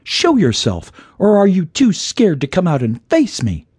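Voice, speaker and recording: Guy doing a brave hotheaded girl hero for a story, high voice, really animated, defiant. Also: normal speed, slightly rough, dry recording, studio quality, full character voice. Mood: defiant, angry. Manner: defiant, exclaiming. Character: brave, hot-tempered young heroine, female adventurer. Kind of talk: audiobook character voice.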